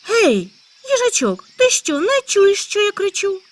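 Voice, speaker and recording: Excitable little hare guy, a lady puts him on, way up high, swoops all over, birds chirping behind. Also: measured pace, background noise, full character voice. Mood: excited. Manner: eager, exclaiming, high and loud. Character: excitable chatty male hare. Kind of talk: storybook character voice.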